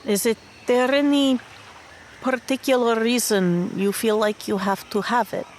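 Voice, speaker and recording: A woman playing a tabletop game, chatting casually in character with a hesitant, halting manner. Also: fairly high pitch, steady tone, a little mellow, slight background noise. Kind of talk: casual in-character roleplay chat.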